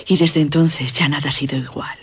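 An enigmatic young woman with a Castilian accent, her voice very husky and close to a whisper. She speaks languidly and broodingly, with a cruel, loving undertone, as in a gothic radio play. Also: sits low, steady tone, brisk. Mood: haunted, distant. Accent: Castilian Spanish. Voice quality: breathy, whispery. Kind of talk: radio drama acting.

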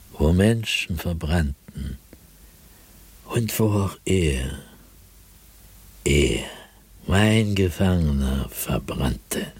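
A man's voice: low voice, darkish tone, husky, dry recording, exaggerated voice. An actor playing a terrified man descending into madness, delivering a theatrical, fervent diary monologue in a radio play. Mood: grim awe. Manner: haunted, theatrical, fervent, with dramatic pauses and whispers.

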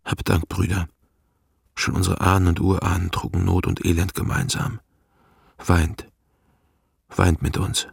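An actor in a German radio play playing an older man mourning his friend, anguished, halting and full of remorse. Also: low-pitched, flat delivery, gravelly, breathy edge, no room sound, clean studio sound, full character voice. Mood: solemn sorrow. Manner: anguished, halting, breaking into whispers and wailing.

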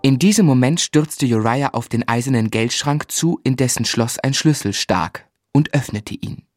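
An actor playing a composed gentleman who narrates his own story in a German literary radio drama. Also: medium pitch, moderate pace, over music, natural voice. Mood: dramatic, composed. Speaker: first-person narrator.

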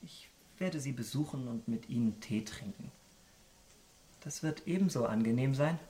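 An actor in a German radio drama playing an elegant, secretive young gentleman, charming but guarded, with polished formal diction. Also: medium pitch, moderate pace, a little hiss or hum, natural voice. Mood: evasive, firm. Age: young adult.

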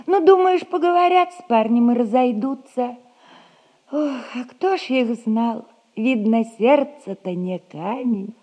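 An actress playing a soft-hearted, fussing old servant nanny in a stage comedy, with sighing, homely folk speech. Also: female voice, fairly high pitch, a little slow. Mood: guilty, pleading. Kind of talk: Russian stage comedy recorded as radio play.

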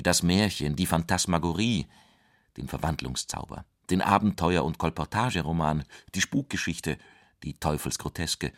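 A man reading literary quotations in a scripted, measured style. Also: deep voice, no room sound, studio quality. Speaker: reader of quotations.

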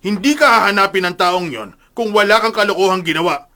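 A man's voice: sits high, brisk, sharp tone. An actor playing a stern, suspicious, confrontational father in a radio drama. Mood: angry, accusing. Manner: confrontational, demanding.

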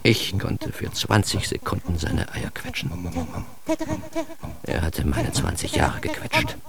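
A man's voice: deep voice, huge pitch swings, takes it slowly, vocal fry, exaggerated voice. An actor playing a self-aggrandizing, wry man telling his own absurd story in a radio drama monologue, ironic and theatrical. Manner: ironic, theatrical, shifting into hushed asides.

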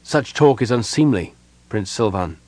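A man's voice: medium pitch, animated, brisk, dry recording, pitched above the performer's own voice, natural voice. A man voicing a stern, loyal elf commander in an audiobook, formal, clipped and commanding. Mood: stern, fierce.

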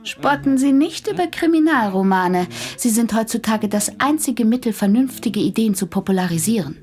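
An actress playing a confident, clever female psychiatrist in a radio drama, brisk and gently coaxing, with a breathy voice. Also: a little high, lively intonation, close to an everyday voice. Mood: teasing.

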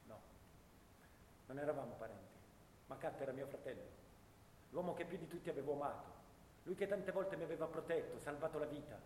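A man's voice: on the high side, animated, fairly quick, long pauses, darkish tone, close to an everyday voice. A man playing a solemn, war-weary soldier in echoing hall. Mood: grief-stricken. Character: grieving, war-weary young soldier, last of his comrades. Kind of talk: theatrical monologue for radio theatre. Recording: echoey stage hall, faint noise.

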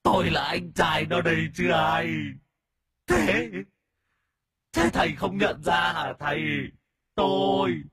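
A man's voice: sits high, expressive, slow pace, long pauses, fairly bright tone, breathy edge, no room sound, clean studio sound, pitched above the performer's own voice, heavily acted. Man acting a sobbing, wretched old ghost. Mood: wailing, pleading. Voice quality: sobbing, stammering. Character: whining ghost of an older village man, wretched.

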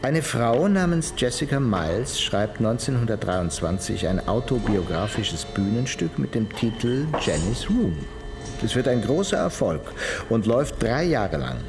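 An actor playing a talkative, cynical musical producer in a radio crime drama, telling things expansively. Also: male voice, medium pitch.

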